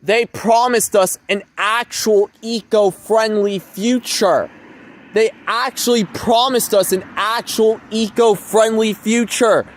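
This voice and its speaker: Slow-talking, high-pitched man rambling to viewers. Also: steady tone. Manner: repetitive, emphatic phrasing. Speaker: vlogger. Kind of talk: rambling informal monologue to viewers.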